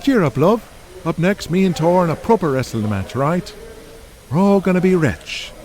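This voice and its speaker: A man's voice: high voice, lively intonation, moderate pace, a little mellow, a little hiss or hum, voice pushed up. A man voicing a cocky, sly wrestling villain in an audio drama, with a smug, taunting and colloquial way of talking. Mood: gleeful, cocky.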